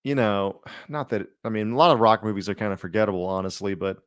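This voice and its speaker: A man's voice: lowish voice, fast, smooth, dry recording, studio quality. A man co-hosting a podcast, chatting casually about superhero films in a rambling, informal, slangy way. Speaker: podcast co-host.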